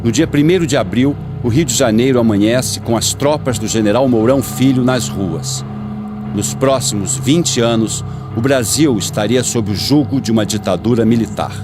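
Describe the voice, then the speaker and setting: A man's voice: mid-range voice, moderate pace. A Brazilian man reading scripted radio documentary narration in a solemn, dramatic manner. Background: music.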